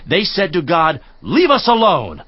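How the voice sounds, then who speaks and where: A man's voice: high-pitched, wildly swooping pitch, unhurried, no echo, full character voice. An actor playing a stern friend who lectures a suffering man in a dramatized scripture reading, admonishing and preacherly. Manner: admonishing, preacherly, rising and falling emphasis. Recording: slightly dull dry studio recording.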